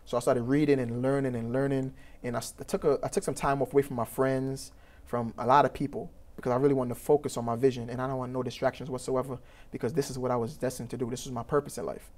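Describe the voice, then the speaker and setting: A man's voice: mid-range voice, little pitch movement, fast talker, a little mellow. A young man from Trinidad with a Trinidadian accent, answering interview questions in an earnest, rambling way full of fillers and restarts. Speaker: youth program founder.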